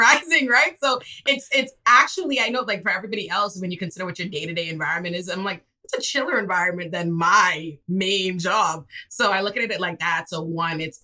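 A young woman from the Bahamas, a public defender, chatting casually on an interview show and acting out quotes with lively laughter. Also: on the low side. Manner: animated storyteller, laughs often, acts out quotes. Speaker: public defender and political commentator.

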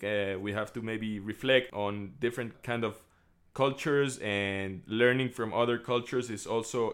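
A man's voice: mid-range voice, fairly bright tone. A man hosting a podcast, reflecting and asking questions, often repeating words as he forms them. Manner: thoughtful, repeats words while forming questions. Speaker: podcast host. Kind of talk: interview questions.

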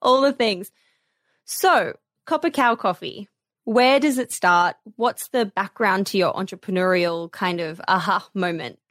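A woman's voice: mid-range voice, measured pace, a bit bright. A woman hosting a podcast interview who asks open, encouraging questions. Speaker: podcast host.

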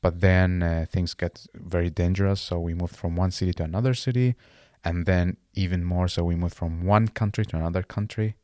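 A very deep-voiced man in his late twenties, originally from Iraq and not an English speaker. He talks haltingly, restarting phrases as he searches for words. The sound is dry and close, with little room around it. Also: steady tone, mellow, no room sound. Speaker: guest. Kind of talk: casual interview conversation.

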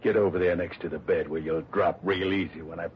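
An actor playing a tough, cocky crook on the run, talking slangy and menacing in a 1960s radio crime drama. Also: male voice, sits low, fairly even intonation, fairly quick, lightly acted. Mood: gloating threat. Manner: slangy, menacing wise-guy talk. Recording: muffled old radio broadcast, faint hiss.

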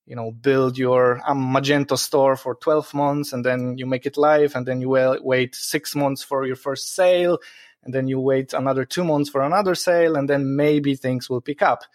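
A man's voice: mid-range voice, steady tone, moderate pace, no room sound, studio quality. A man from Poland with a Polish accent, an entrepreneur answering interview questions in a rambling way with many fillers. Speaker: podcast guest, entrepreneur.

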